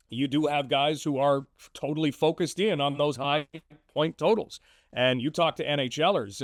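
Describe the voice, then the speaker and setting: Man giving measured sports analysis, dry sound. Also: on the high side, dry recording. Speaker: radio play-by-play sports broadcaster as guest. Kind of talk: conversational hockey analysis.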